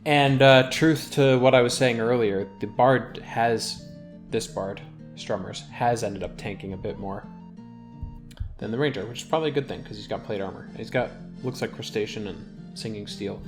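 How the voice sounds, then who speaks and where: A man's voice: on the low side, normal speed. Man casually narrating his gameplay over music. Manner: rambling, thinking aloud. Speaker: gaming video host.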